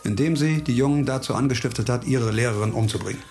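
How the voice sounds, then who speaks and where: An actor playing a dogged, sardonic police investigator in a radio crime drama, terse and assured. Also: male voice, mid-range voice, fast, a little mellow, soft background music, lightly acted. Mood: grim, determined.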